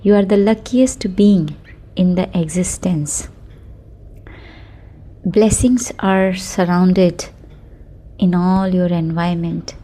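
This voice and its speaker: Slow, mellow woman guiding sleep meditation. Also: on the low side. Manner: soothing, unhurried, short phrases with long pauses. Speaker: meditation guide. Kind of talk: guided sleep meditation with affirmations.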